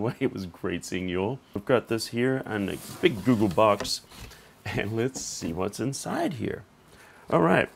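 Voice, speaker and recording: A man with a slightly hoarse voice hosting a casual unboxing vlog, thinking aloud in an informal, conversational way. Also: on the low side. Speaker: tech reviewer and channel host.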